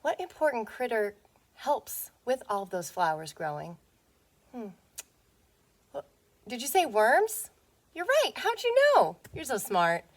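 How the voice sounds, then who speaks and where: A woman teacher reading a picture book aloud to young children, playful and expressive, often putting questions to them. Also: on the high side, measured pace, long pauses.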